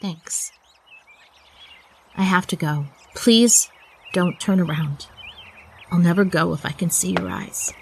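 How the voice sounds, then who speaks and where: A middle-aged spy chief played by an actress in an audio drama. Her voice sits fairly low, and she talks in hushed, clipped phrases, guarded and tired, as someone who trusts nobody. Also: takes it slowly, vocal fry, pauses often, a little hiss or hum. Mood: sad, tender. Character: weary, guarded female spy agency chief, secretive.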